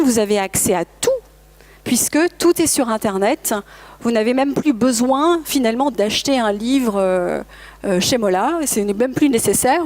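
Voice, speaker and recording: A woman whose first language is English gives a motivational talk at a trade fair, with a voice a little higher than most. She speaks earnestly to the audience and often addresses them directly. Now and then she hesitates and corrects herself in mid-sentence. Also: a little mellow. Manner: occasional self-corrections and hesitations. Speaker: conference speaker, language school founder. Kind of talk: presentation to an audience.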